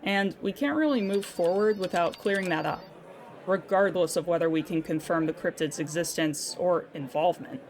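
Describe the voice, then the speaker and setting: A woman's voice: fairly low pitch, normal speed, darkish tone, close to an everyday voice. A woman playing a weary, sardonic claims agent in an audio drama monologue, with a dry, businesslike manner. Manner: dry, exasperated asides amid businesslike dictation.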